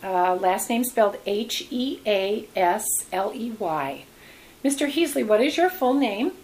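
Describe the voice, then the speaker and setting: A woman's voice: medium pitch, animated, unhurried, darkish tone, slight background noise. A woman who works as a reference librarian, conducting an oral history interview with short, attentive questions and affirmations.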